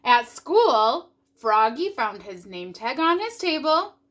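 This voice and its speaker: A woman reading a storybook aloud for children in an animated narrating style. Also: fairly high pitch, takes it slowly. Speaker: storybook reader.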